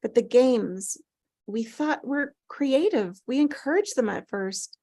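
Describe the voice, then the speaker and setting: A woman playing a grieving older mother in a drama table read, earnest and compassionate, speaking haltingly as she searches for words. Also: fairly high pitch, animated, mellow, no echo, studio quality, exaggerated voice. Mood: wistful, halting.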